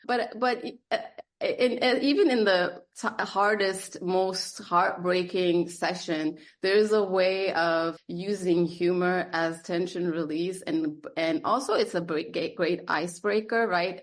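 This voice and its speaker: Thoughtful lowish-voiced woman therapist. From Germany and Turkey. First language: Turkish. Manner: thoughtful, with frequent fillers and restarts. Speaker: psychotherapist guest. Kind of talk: conversational interview answers.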